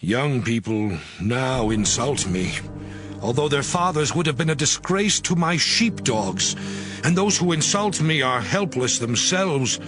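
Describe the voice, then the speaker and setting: An actor playing a righteous, once-proud man in a dramatized scripture reading, solemn and expressive. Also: mid-range voice, a little slow, lightly acted. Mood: bitter, anguished. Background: background music.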